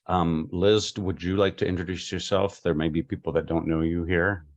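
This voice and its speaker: A man chairing a local government meeting in a halting, informal, polite way. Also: sits low, steady tone. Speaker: commission chair.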